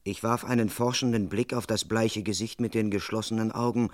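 An actor playing a dutiful London police constable in a crime radio play, speaking in a measured, formal, precise and officious way. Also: male voice, on the low side, fairly even intonation, no room sound, studio quality, close to an everyday voice. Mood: matter-of-fact, grave. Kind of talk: German crime radio play, first-person narration and dialogue.

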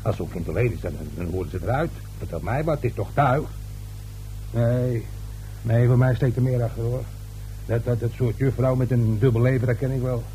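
An actor playing a gossipy, grumbling caretaker in a radio play, hesitant and repeating himself. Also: male voice, medium pitch, moderate pace, dark tone, lightly acted, rough recording. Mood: suspicious, gossipy. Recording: old radio recording with faint hum and noise.

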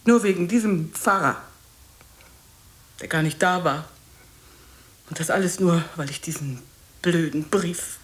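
An actress playing a bitter old woman in a radio drama, scolding and reproachful, halting and repeating herself. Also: on the low side, close to an everyday voice. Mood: indignant, reproachful. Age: older.

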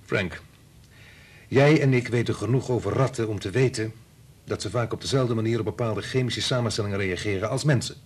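An actor playing a cynical, pushy husband and scientist in a radio play, sardonic and dismissive. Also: male voice, on the low side, fairly quick, husky. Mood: pushy, persuasive. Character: cynical, pushy biologist husband and father, belittling. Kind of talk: Dutch radio play acting.

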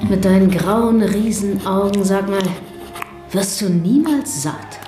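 A woman's voice: medium pitch, slow, warm tone, lightly acted. An actress playing an affectionate, bossy socialist woman who coaxes and teases in a German radio play. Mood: teasing. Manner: coaxing, teasing. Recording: echoey room with music.

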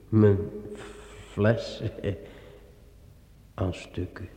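An actor in a radio play playing a muddled, giddy man with a stammering, halting delivery. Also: fairly low pitch, little pitch movement, dark tone, slight background noise. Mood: infatuated. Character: giddy, muddled man enchanted by talking bottles. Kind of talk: theatrical radio play acting. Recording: echoey hall, music behind.

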